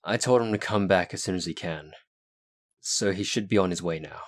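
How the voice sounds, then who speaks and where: A man voicing a young werewolf who speaks calmly and firmly. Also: sits low, moderate pace.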